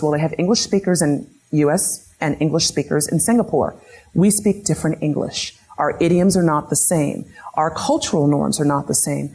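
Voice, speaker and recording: Woman lecturing, low husky voice, runs through question after question. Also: a little mellow. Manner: methodical, poses series of questions to audience. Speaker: lecturer on patient-reported outcomes. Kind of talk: academic lecture. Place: lecture room.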